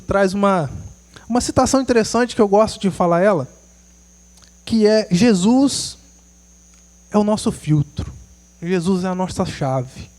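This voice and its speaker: A man's voice: high voice, darkish tone. A man preaching a sermon, earnest and exhorting, asking his listeners rhetorical questions.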